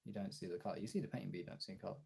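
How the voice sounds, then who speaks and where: Male streamer, gravelly and raspy, confessional off-the-cuff delivery with frequent pauses and casual swearing. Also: low voice, warm tone. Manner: halting, candid, swearing. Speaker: live streamer and voice actor. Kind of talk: casual confessional livestream chat. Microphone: phone.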